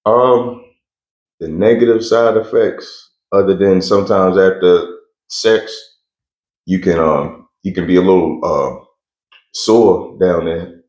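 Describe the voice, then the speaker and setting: Deep-voiced man, laid-back and blunt. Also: slow pace, darkish tone, reverberant. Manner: laid-back, laughs often, blunt slang. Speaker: interview guest. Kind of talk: casual interview answers.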